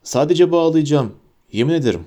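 A man voicing a calm, grave adult wizard who speaks quietly and evenly. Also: on the high side, pitched above the performer's own voice, natural voice. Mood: steady. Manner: quiet, measured.

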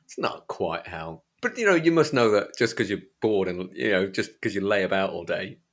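A man's voice: low voice, expressive, normal speed. A man from Derbyshire with an East Midlands English accent, chatting informally as a podcast host about a book. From Derbyshire, England. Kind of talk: casual book discussion chat.

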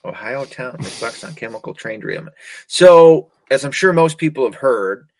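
A man's voice: mid-range voice, expressive, moderate pace, darkish tone, low-quality recording. A man from the United States hosting a casual talk show, ranting sarcastically, swearing constantly and stumbling over hard words. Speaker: podcast host. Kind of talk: casual profane rant.

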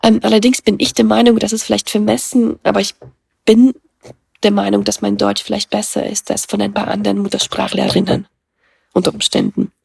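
A middle-aged woman whose first language is Russian talks in a gravelly, husky voice. Her pitch stays flat and level, and she pauses often to think. The sound is dry and close, with almost no room. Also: no room sound. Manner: thoughtful, many hesitations and fillers. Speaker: guest. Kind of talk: casual conversation.

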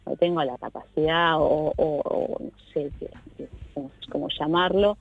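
A woman's voice: deep voice, fairly even intonation, moderate pace, narrow, tinny audio. A middle-aged woman with a Buenos Aires accent, a musician guest chatting casually and hesitantly, full of restarts and fillers. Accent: Buenos Aires Spanish. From Argentina. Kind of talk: casual interview chat.

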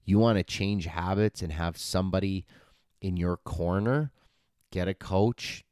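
Deep-voiced man in his forties, earnest coach. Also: unhurried, a little mellow, no room sound, clean studio sound. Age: 40s. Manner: earnest, motivational, with frequent fillers and restarts. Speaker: podcast host and fitness coach. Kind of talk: casual solo podcast talk.

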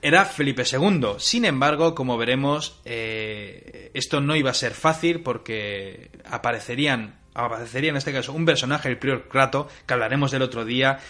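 A man co-hosting a history show, telling stories in a lively way with stammered restarts. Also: medium pitch, moderate pace, hardly any pauses. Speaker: history co-host. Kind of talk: casual history chat.

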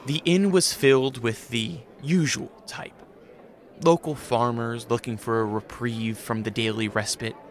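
A man's voice: mid-range voice, steady tone, a little slow. A man reading scripted narration as the narrator of a story.

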